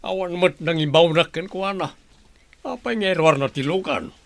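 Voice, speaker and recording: A man's voice: a little high, normal speed, natural voice. A man with an Ilocano accent playing an older, grateful grandfather in a radio drama, speaking earnestly. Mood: calm. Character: kindly grandfather, grateful and warm-hearted.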